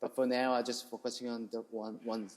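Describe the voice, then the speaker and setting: A man's voice: medium pitch, little pitch movement, fairly quick, a little mellow. A man from South Korea with a Korean accent, talking casually as a chef being interviewed, in hesitant, non-native English phrasing. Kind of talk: casual interview, telling their story.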